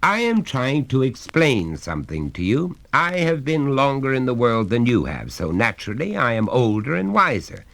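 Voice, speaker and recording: A man's voice: mid-range voice, sing-song, moderate pace, gravelly, few pauses, a bit bright, voice pushed up. Man doing a smug lecturing father. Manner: lecturing, coaxing. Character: confident, patronising middle-aged English father. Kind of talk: audiobook reading.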